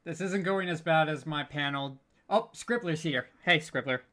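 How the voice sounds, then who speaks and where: A man's voice: a little high, moderate pace, fairly bright tone. A man hosting a casual unscripted panel, speaking in a hesitant, stammering, stop-start way and sounding apologetic. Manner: apologetic, self-deprecating. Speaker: panel host, audio drama producer.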